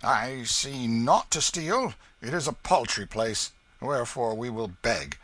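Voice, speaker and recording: A man's voice: mid-range voice, lively intonation, unhurried, a bit bright, no echo, pitched above the performer's own voice. A man voicing a wily, bullying beggar-thief in a storybook, blustering and cajoling in archaic rogue's speech. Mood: taunting.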